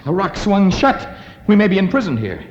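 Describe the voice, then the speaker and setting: An actor playing a steady, commanding jungle hero in formal, measured 1950s radio adventure style. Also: male voice, high voice. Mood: urgent, alarmed. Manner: formal, measured, deliberate speech. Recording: muffled 1950s radio recording.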